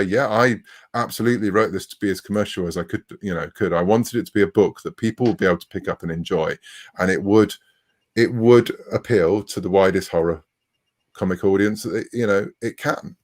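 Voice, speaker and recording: A man in his forties speaking conversationally as a writer being interviewed. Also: lowish voice. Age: 40s. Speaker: interviewed writer of comics and novels.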